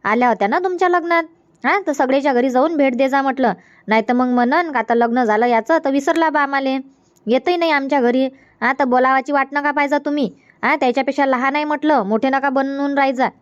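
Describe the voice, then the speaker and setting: A woman's voice: sits high, quick pace, no room sound, highs cut off, close to an everyday voice. A woman voicing a middle-aged mother in a comedy cartoon, giving firm instructions with a Varhadi Marathi accent. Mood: instructing. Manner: bossy, instructive, scolding gently.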